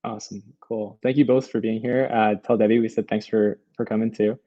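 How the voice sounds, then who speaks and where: A man's voice: fairly low pitch, steady tone, a little fast, warm tone, no echo. A young man casually hosting an online panel over a video call with dull audio. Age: young adult. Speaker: host, festival moderator. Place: room at home, video call.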